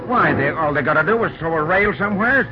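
Casting: male actor for drifting railroad fireman in radio serial. American, high-pitched, folksy, loyal but suspicious, muffled old recording. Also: lively intonation, fast, over music, close to an everyday voice. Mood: confiding, suspicious. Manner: folksy, slangy, conspiratorial. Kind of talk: old-time radio drama acting.

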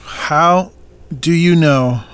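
A stern co-owner of a family farm in an audio drama. His voice sits a little high for a man, and he speaks firmly and deliberately, pressing his questions. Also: fairly bright tone, clean tone. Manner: firm, measured, confronting.

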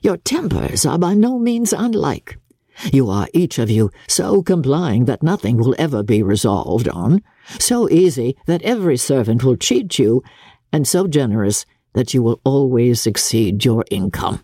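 A woman voicing a kindly older gentleman father in a classic novel reading, measured, with dry, teasing wit. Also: a bass voice, big pitch swings, husky, dry recording, heavily acted. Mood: fond teasing. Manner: measured, dryly witty.

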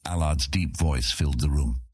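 A man's voice: a bass voice, normal speed, breathy edge, dry recording, clean studio sound. A man narrating a scripted fantasy audiobook in a dramatic storytelling style.

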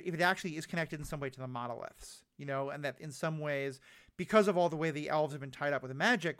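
Man, fairly high voice, American accent, podcast co-host, casual conversational discussion. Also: bright, forceful tone, clean tone.

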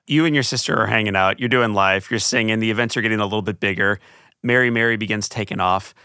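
A man hosting a podcast interview, asking measured, thoughtful questions. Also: fairly low pitch, pushed and bright, clean tone, no room sound, clean studio sound. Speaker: interview host.

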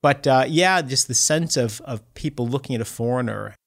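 A man's voice: medium pitch, normal speed, vocal fry. A man hosting a podcast in casual conversation, thoughtful and searching for words. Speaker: podcast host.

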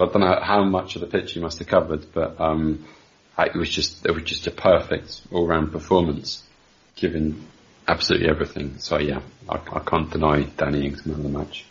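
A man on a football podcast panel, giving his opinions in casual conversation. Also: low-pitched. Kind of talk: casual sports discussion. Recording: dull, lo-fi voice recording.

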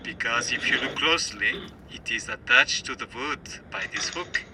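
An actor playing a careful, methodical restorer giving a tutorial in an audio drama, heard as video playback. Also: male voice, medium pitch, moderate pace. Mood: methodical, instructive. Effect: played back from a video. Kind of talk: instructional demonstration in an audio drama. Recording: online video playback, background noise.

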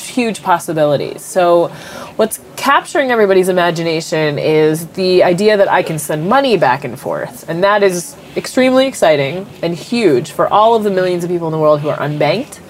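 A woman's voice: on the low side, a little hiss or hum. A woman with a cold and a stuffed-up voice, a company executive answering interview questions and explaining technology through everyday comparisons, with frequent ums.

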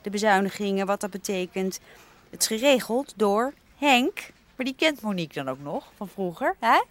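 A woman playing a brisk, bossy editor-in-chief in a radio comedy, curt and businesslike as she directs her staff. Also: medium pitch, lively intonation, lightly acted.

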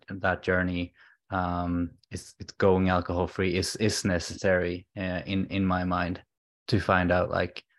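A man with a Swedish accent speaks as a guest in a conversational interview. His low voice stays very even as he earnestly feels his way toward the right words. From Sweden. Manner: hesitant, searching for words, earnest. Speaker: podcast guest, alcohol-free coach.

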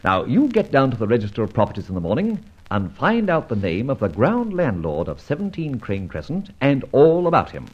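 An actor playing a suave, teasing gentleman adventurer in an old radio serial, with urbane, theatrical diction. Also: mid-range voice, huge pitch swings, a little fast, hardly any pauses, muffled recording. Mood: brisk, conspiratorial. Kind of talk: old radio serial acting, with first-person narration.

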